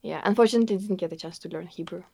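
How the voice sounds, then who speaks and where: Young Russian-accented woman, gravelly. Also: fairly low pitch, racing, dark tone, no echo. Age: young adult. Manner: earnest, fillers like "like" and "you know", laughs often. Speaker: interviewee. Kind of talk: casual conversational interview.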